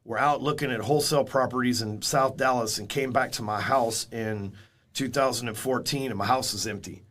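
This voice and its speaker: A man's voice: medium pitch, fairly quick, fairly bright tone. A man in his forties, a guest in a casual interview, telling stories in a blunt, candid way and swearing freely. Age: 40s.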